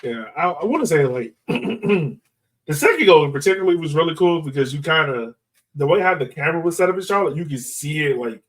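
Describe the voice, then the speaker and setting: A man's voice: on the high side, animated, brisk, low-quality recording. A man co-hosting a sports podcast, chatting casually like a fan in loose, informal phrasing peppered with "like". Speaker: soccer podcast host.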